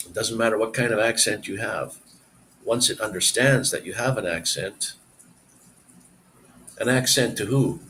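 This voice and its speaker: A man's voice: on the low side, moderate pace, long pauses, fairly bright tone, slight background noise, rough recording. A middle-aged man hosting a casual live stream, rambling as he reads viewer comments aloud and answers them.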